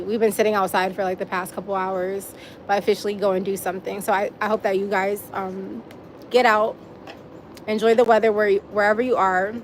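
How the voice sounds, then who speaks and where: A woman's voice: mid-range voice, slight background noise. A woman hosting a casual live stream outdoors, chatting straight to her viewers with frequent little laughs. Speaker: livestream host.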